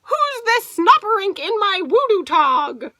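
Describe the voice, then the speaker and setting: A woman's voice: very high-pitched, very animated, takes it slowly, bright, forceful tone, dry recording, studio quality, pitched above the performer's own voice, exaggerated voice. A woman voicing an upset little baby alien boy who whines and cries out in a storybook reading. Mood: upset, indignant. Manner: whiny, crying out.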